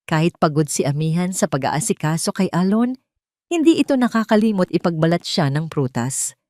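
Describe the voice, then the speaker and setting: A woman narrating a scripted audio drama in a steady, measured storytelling manner. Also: on the low side, dry recording, studio quality.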